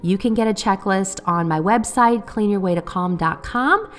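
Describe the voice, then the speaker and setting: A woman's voice: medium pitch, normal speed. A woman in her thirties narrating her cleaning vlog in a friendly, upbeat way while getting over a cold. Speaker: cleaning vlogger.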